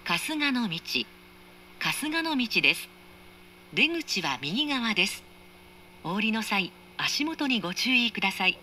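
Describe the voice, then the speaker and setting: A woman's voice: on the high side, big pitch swings, measured pace, pauses often, pushed and bright. A woman reading scripted train announcements in a polite, formal manner, as heard inside a carriage. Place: train carriage speaker, train ambience behind. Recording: faint train noise, recorded inside carriage.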